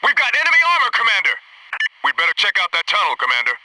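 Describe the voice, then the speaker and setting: An actor playing a disciplined, dryly wry SEAL in a military shooter game, giving clipped, businesslike reports. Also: male voice, high voice, wildly swooping pitch, fast, far from the mic, background noise. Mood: urgent alarm. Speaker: special forces teammate reporting to headquarters.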